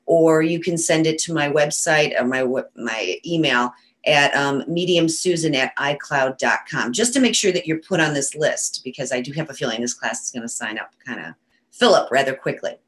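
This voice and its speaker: A woman with a low voice chats loosely as a talk-show guest. She is from Western New York and has a cold that makes her sound nasal, and she fills her pauses with ums and uhs. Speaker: psychic medium guest. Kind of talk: casual talk-show chat.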